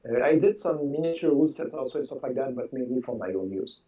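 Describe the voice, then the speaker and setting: Sixty-year-old man with French first language. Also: medium pitch. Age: 60s. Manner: halting, repeats words, relaxed. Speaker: game designer guest. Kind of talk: casual interview chat. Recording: distant, dull lo-fi sound.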